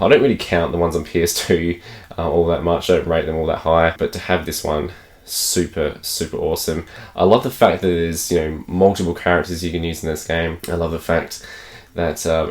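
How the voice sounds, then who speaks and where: An Australian man with a croaky voice from a cold, chatting enthusiastically to camera about games he picked up and laughing often. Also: sits low, a little mellow. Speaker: gaming channel host. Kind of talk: casual unboxing chat to camera.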